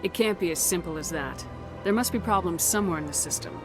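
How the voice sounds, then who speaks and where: A woman's voice: on the low side, fast talker, over music, close to an everyday voice. A woman playing a blunt, assertive military commander in a video game, speaking in a direct, firm way. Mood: inquiring, direct. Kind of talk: video game dialogue acting.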